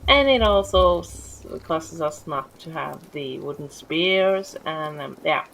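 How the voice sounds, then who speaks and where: A middle-aged Norwegian-accented woman commentates her building game at a slow, rambling pace. Her low voice has a gravelly fry. A faint hiss of noise sits under the talk. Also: a bit bright, rough recording. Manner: rambling, easily distracted, many restarts. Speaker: gameplay streamer. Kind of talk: casual let's-play commentary. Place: home.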